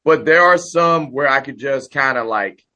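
A hoarse middle-aged man chatting casually as a podcast guest, talking with high energy. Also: moderate pace, sharp tone.

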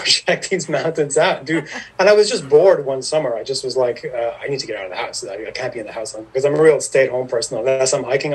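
A man's voice: mid-range voice, darkish tone, husky, rough recording. A man, telling stories as a podcast guest in a rambling, self-deprecating way. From Ireland. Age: 50s. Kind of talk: casual storytelling interview.

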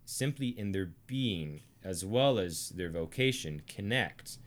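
An American man from Arkansas teaching theology conversationally, speaking deliberately and emphatically with pauses for effect. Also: low voice, lively intonation. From Arkansas, United States. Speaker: teacher and co-host of a theology series.

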